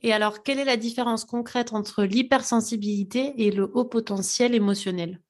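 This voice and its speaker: A woman's voice: on the high side, steady tone, a little fast. A woman hosting a podcast, asking her guest questions in a curious, conversational way. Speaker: podcast host. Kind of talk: interview questions.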